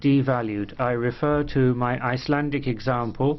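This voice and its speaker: A man's voice: mid-range voice, fairly quick. A man speaking in a parliamentary debate, argumentative and pressing his point, with frequent hesitations. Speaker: member of parliament. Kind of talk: debate speech. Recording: muffled parliament broadcast.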